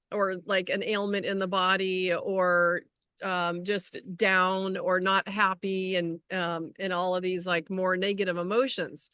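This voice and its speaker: A woman with a middle-pitched voice talks casually as a podcast co-host. Her sound is dry and free of room echo, and her phrasing is halting, often doubling back on itself. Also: fairly bright tone, smooth. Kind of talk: casual conversational chat. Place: outdoors.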